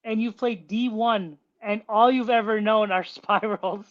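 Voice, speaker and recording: A man with a nasal, high-pitched voice is calling in to a sports talk show. He rambles and repeats himself as he builds a point. The line is dull and lo-fi. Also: fairly even intonation, a little slow. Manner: rambling, repeats words when starting a point. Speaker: caller. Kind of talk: casual sports call-in chat.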